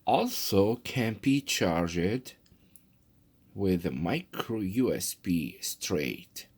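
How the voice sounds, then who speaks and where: A man with an extremely deep, creaky voice presents a product unboxing. His delivery is casual and halting, as if thinking aloud, and his pitch moves around freely. There is no studio polish to the talk. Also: lively intonation, darkish tone.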